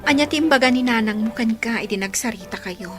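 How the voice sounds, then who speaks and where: A woman playing a devoted, patient young girlfriend in a radio drama, speaking with gentle persuasion. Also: fairly high pitch, lively intonation, fast, music in the background, natural voice. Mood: concerned.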